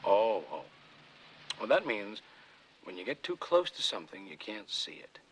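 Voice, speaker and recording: A man playing a patient, gentle middle-aged father in natural, unhurried television family dialogue. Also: fairly low pitch, very animated, slight background noise, low-quality recording. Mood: patient, bemused. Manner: unhurried, indulgent, conversational.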